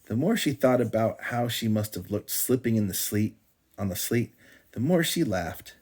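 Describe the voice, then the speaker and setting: A man reading a storybook aloud to a child as a father does at bedtime, in an animated storytelling style. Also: on the low side, moderate pace.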